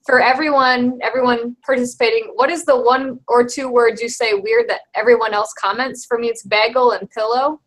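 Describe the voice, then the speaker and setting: A woman's voice: a little high, fairly even intonation, normal speed, few pauses, fairly bright tone, low-quality recording. A woman co-hosting a group livestream, asking everyone questions in casual chat. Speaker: livestream co-host.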